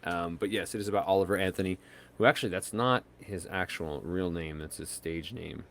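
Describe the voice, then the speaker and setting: A male podcast co-host talks in a loose, rambling way, full of fillers and restarts. His voice sits a bit low for a man. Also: a little fast, fairly bright tone. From United States. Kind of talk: casual chat.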